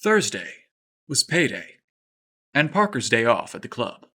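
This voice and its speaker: A man reading a scripted story aloud with expressive, playful and dramatic delivery. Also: mid-range voice, measured pace, creaky, breathy edge. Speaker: storyteller podcast narrator.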